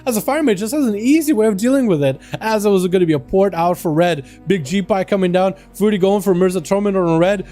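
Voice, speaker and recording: A young man from Russia with a high yet mellow voice, loosely calling video game duels on a livestream and chatting to viewers as "boys." Faint music plays underneath. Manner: chatty, calls out to chat as "boys". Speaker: livestream host and duel caster. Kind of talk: casual game commentary.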